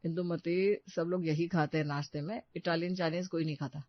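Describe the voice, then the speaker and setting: A woman voicing a patient, practical middle-class mother-in-law in an animated moral story. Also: on the low side, fairly quick, dry recording, highs cut off, lightly acted. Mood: patient, reasoning.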